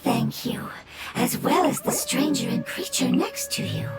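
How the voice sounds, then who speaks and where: Slow, awed fungus creature. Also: female voice, high-pitched, huge pitch swings, exaggerated voice. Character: small awakened fungus creature, polite and wondering. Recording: game soundtrack underneath. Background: loud game music.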